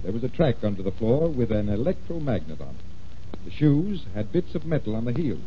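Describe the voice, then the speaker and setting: A man's voice: mid-range voice, big pitch swings, fairly quick, dark tone, close to an everyday voice. Guy doing a patient showman magician detective, 40s radio drama, swingy voice, muffled noisy old recording. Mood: explaining. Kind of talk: 1940s radio acting.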